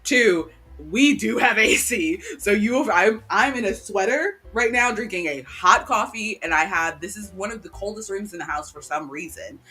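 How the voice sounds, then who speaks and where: A woman's voice: mid-range voice, expressive, moderate pace, few pauses, sharp tone, husky. A woman co-hosting a casual live chat from a cool room at home, rambling along with lots of ums and restarts. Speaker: livestream co-host. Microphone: home desk microphone.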